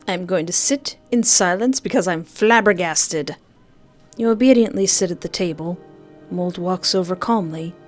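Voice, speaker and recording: Playful woman doing a visual novel let's play. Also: fairly low pitch. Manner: playful, chatty asides and exclamations. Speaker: gamer playing and commenting on a visual novel. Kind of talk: let's play: reading game text aloud with playful commentary. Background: game music.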